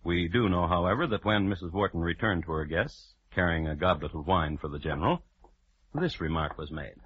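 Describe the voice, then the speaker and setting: An actor playing a wry, mock-formal storyteller narrating an old-time radio crime drama. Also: male voice, low voice, lively intonation, brisk, husky, no room sound. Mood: wry, droll. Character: wry, wordy male storyteller of a historical crime tale. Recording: muffled vintage radio recording with music.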